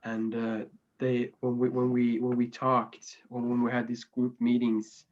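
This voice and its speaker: A young man from Norway talks slowly and haltingly, often restarting his sentences. His voice has a medium pitch. The sound comes over a slightly dull video call line. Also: monotone. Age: young adult. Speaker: youth panelist.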